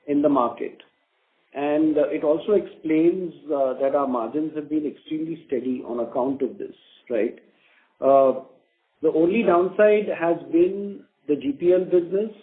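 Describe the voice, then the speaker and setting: Male executive on a phone line. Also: on the high side. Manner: halting, hedging with frequent you-knows, measured. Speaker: company executive. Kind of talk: earnings call business update. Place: conference call. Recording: narrow, muffled conference phone line.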